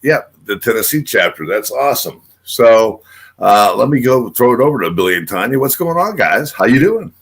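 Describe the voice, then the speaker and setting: A man's voice: mid-range voice, sing-song, normal speed, fairly bright tone, breathy edge. An older man hosting a casual radio show, rambling and full of filler words and restarts. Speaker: host.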